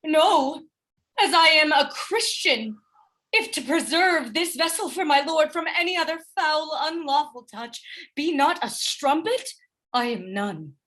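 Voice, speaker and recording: A loyal, innocent young wife from a Shakespearean radio drama, played by a woman, with a high voice. She speaks slowly in formal verse, earnest and sorrowful, wounded and pleading. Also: animated, fairly bright tone. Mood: distressed, wounded. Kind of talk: Shakespearean radio play acting.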